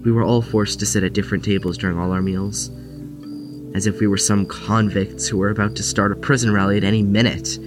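A man playing a guarded, anxious young patient in an audio drama, with a halting, reserved manner. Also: on the low side, level tone, close to an everyday voice. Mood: wry, reflective. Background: music.

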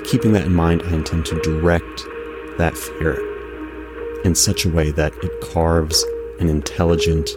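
A male podcast host delivers a scripted introduction. His voice is low and his phrasing formal and deliberate, with music loud underneath. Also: flat delivery.

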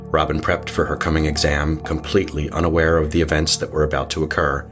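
Very deep-voiced male horror narrator. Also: little pitch movement, fast, a bit bright, soft background music.

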